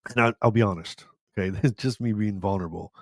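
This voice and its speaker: An older man hosting a live question-and-answer podcast, rambling and talking straight to his listeners, his voice a little tired and raspy from a long day of talking. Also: on the low side, quick pace, no echo, clean studio sound. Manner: rambling, warm-hearted, talks straight to viewers. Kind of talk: live Q&A chat. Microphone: studio microphone with headphones.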